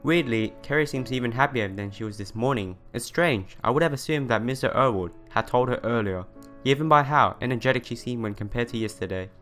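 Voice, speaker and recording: A man's voice: mid-range voice, fairly bright tone. Young man reading a visual novel, music behind. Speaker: let's player.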